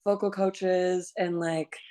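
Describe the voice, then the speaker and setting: A woman in her twenties with a low, raspy voice, chatting eagerly as a musician guest on a video call, full of likes and restarts. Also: flat delivery, takes it slowly, warm tone. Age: 20s. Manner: enthusiastic, many likes and restarts. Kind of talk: casual interview chat.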